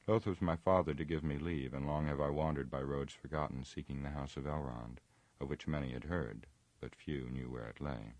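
A proud, earnest warrior lord's son, read by a man. His voice sits very low and sounds close and dry. He tells his tale formally and gravely. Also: steady tone, normal speed, darkish tone, dry recording. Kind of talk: audiobook reading.

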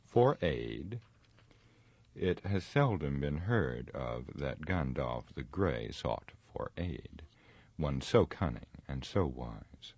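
A very deep-voiced, haughty wizard played by a male narrator for a fantasy audiobook. He is grave and scornful, stretching out his mockery with pompous menace, in a dry, close recording. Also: a little mellow, dry recording, heavily acted. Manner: sneering, pompous, drawn-out mocking delivery. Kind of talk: dramatic audiobook reading of fantasy.

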